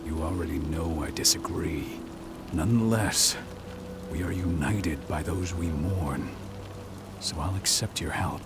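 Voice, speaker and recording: An actor playing a stern, proud veteran werewolf pack leader in a video game, speaking in a measured, firm manner. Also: male voice, low-pitched, music in the background. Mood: bitter, stern. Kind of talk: video game cutscene acting.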